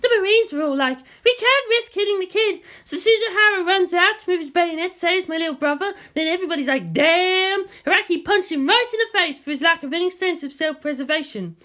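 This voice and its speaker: A narrator voicing awed schoolchildren swapping battle stories in an animated, slangy way. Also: very high-pitched, brisk, hardly any pauses, voice pushed up, exaggerated voice. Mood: excited.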